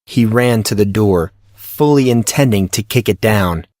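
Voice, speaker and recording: A man's voice: on the low side, measured pace, a little mellow, no echo. A man reading scripted fiction as the narrator of an audio drama.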